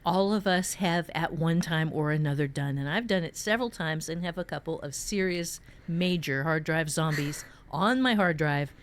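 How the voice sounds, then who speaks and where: A woman's voice: low voice, moderate pace, hardly any pauses, slight background noise. A woman giving casual, emphatic advice on fiction writing as a podcast host, explaining with playful asides.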